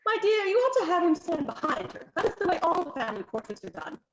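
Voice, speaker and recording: A woman's voice: extremely high pitch, big pitch swings, fast, slight background noise, voice pushed up. A woman doing a cordial portly earl. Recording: roomy, slightly muffled home recording.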